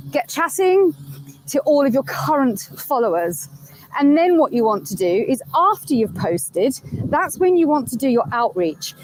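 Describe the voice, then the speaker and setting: Woman on a video call from outdoors, instructive, high voice, repeats her key points. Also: a little mellow, a little hiss or hum, poor audio. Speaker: guest speaker. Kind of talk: giving social media tips on a call.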